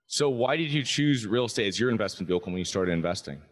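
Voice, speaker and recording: A man hosting a podcast, reading a measured introduction and asking the guest questions. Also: lowish voice, lively intonation. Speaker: podcast host. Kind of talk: interview hosting.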